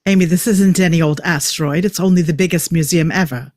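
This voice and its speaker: A man's voice: on the high side, fairly quick, darkish tone, dry recording, clean studio sound, natural voice. A man acting an eccentric, opinionated time-traveller in a script table read, with clipped, emphatic delivery. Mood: smug, enthusiastic. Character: eccentric, opinionated male time-traveller, a know-it-all enthusiast.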